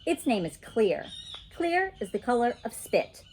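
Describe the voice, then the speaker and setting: Lady doing a grouchy little kid from a storybook, blunt, highish and swoopy. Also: big pitch swings, measured pace, darkish tone, slight background noise. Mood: opinionated. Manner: blunt, emphatic, childish. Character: feisty, grouchy little kindergarten girl narrator. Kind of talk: storybook read-aloud.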